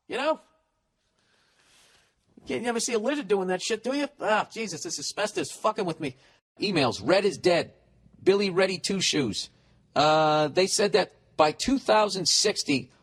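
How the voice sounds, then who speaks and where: A man in his forties rants alone on his podcast, swearing constantly and piling on sarcasm. His speaking voice sits high for a man. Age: 40s. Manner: profane, ranting, sarcastic. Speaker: podcast host. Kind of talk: solo comedic rant.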